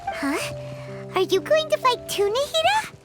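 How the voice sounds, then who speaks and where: Very high, squeaky little fairy sidekick. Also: female voice, sing-song, a little slow, full character voice. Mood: surprised. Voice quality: squeaky, childlike. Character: tiny cheerful childlike floating fairy companion. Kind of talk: video game character acting. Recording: loud music behind the voice.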